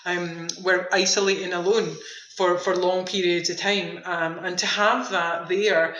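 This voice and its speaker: A woman's voice: fairly low pitch, little pitch movement, a little slow, fairly bright tone, reverberant, highs cut off, slight background noise. A woman speaking as a guest in a radio interview, enthusiastic and emphatic, often repeating words for emphasis. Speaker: veterans' activity centre manager, interview guest.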